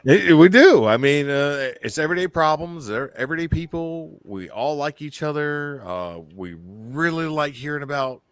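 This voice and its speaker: A man's voice: fairly high pitch, animated, moderate pace, few pauses, smooth, dry recording. A man from Alabama hosting a casual live-stream podcast, rambling and joking with chat. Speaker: podcast host.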